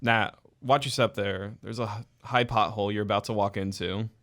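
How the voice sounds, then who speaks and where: A man's voice: on the low side, fairly even intonation, moderate pace, a bit bright. A man playing a coolly confident, eerie middle-school boy in an amateur radio drama, speaking matter-of-factly. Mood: calm, guiding.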